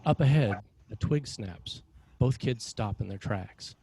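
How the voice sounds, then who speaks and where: A man with a lowish voice narrates a screenplay. He reads stage directions in a measured, dramatic style. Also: warm tone, slightly rough.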